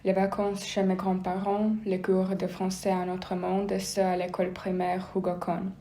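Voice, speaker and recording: Woman, testimonial; flat warm tone, echoey room, light hiss. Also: medium pitch, moderate pace. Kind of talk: personal testimony. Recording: roomy with faint noise.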